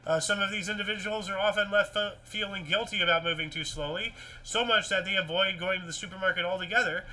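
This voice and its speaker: A man hosting a casual news show, reading articles and chatting in a rambling, repetitive, animated way. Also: sits high, fast, poor audio. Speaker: host of a weird-news talk show.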